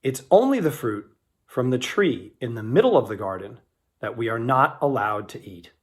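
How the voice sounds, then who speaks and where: A man's voice: medium pitch, very animated, moderate pace, slightly rough. A man voicing an earnest, defensive woman in a Bible story, explaining herself plainly.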